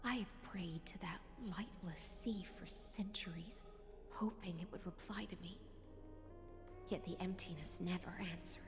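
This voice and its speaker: An ancient, melancholy demigod songstress in a game. Her lowish voice is soft, breathy and unhurried, carried on long reverb with music playing clearly behind her. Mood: sorrowful. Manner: solemn, poetic, soft and breathy. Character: melancholy, ancient, graceful female demigod singer. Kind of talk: dramatic video game voice acting.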